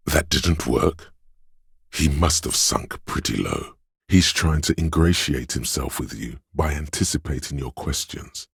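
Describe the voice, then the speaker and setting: An actor playing a smooth, sly inner voice of persuasion in a video game, narrating in a gravelly bass with a measured, insinuating delivery. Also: male voice, no echo, studio quality, heavily acted. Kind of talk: scripted second-person game narration.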